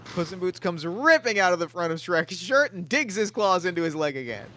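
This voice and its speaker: A man reading the stage directions in a table read, in a quiet, matter-of-fact way. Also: high-pitched, expressive, fairly quick.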